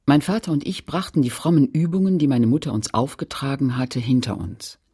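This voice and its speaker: A woman narrator remembering a painful, shamed childhood. The voice is husky, with sober, deliberate phrasing. It is scripted literary radio storytelling. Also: very deep voice, dark tone, heavily acted.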